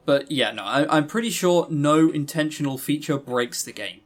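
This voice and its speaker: Opinionated man with a slightly high voice. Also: animated, normal speed. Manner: opinionated, emphatic, rambling. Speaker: podcast co-host. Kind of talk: casual review commentary on visual novels.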